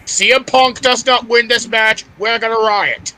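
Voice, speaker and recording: A man's voice: very high-pitched, steady tone, measured pace, bright, forceful tone. A man chatting casually as a panelist on a group podcast, on a distant mic with faint noise.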